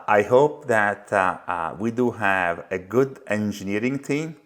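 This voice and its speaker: A middle-aged man from Italy with an Italian accent, a company founder speaking as a podcast guest, pausing often on "uh". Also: on the low side, a bit bright. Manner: many "uh" fillers, unhurried. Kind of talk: podcast interview.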